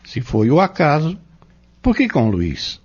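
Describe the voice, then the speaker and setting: A man with a Brazilian accent playing an earnest spiritual teacher in an audio novel, explaining in measured phrases and rhetorical questions. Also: a little high, huge pitch swings, a little mellow, breathy edge, full character voice. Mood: earnest, instructive. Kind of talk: dramatized audio novel dialogue, didactic. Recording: dull lo-fi audio.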